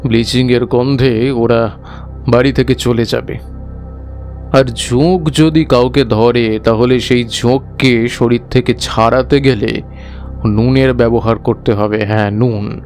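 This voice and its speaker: A man playing an earnest village schoolmaster who explains things gravely and emphatically in a dramatized animated horror story. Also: mid-range voice, unhurried, lightly acted. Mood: firm, instructive. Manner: emphatic, explanatory, repeating himself. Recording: faint music behind the voice.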